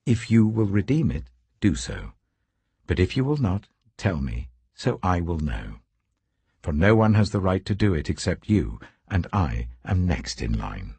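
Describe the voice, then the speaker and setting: Man playing authoritative British landowner, earnest, declamatory, very husky, animated pitch, scripture audiobook. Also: unhurried, no echo, heavily acted. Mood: earnest, businesslike.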